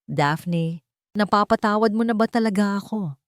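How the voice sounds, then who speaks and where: A woman's voice: medium pitch, normal speed, dry recording, clean studio sound, voice pushed up, lightly acted. A woman voicing a devoted, remorseful husband and young father in an earnest, tender, emotional way. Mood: tender, pleading.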